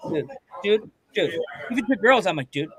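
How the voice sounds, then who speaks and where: A man hosting a casual podcast chat, talking in an excitable, repetitive way and saying dude constantly. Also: high-pitched, moderate pace, crowd noise. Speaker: podcast host.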